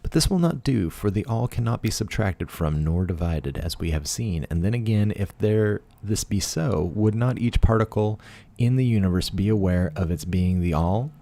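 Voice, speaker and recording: A man reading a philosophical text aloud in a deliberate, emphatic manner, leaning into rhetorical questions. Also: fairly low pitch, dark tone. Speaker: audiobook reader.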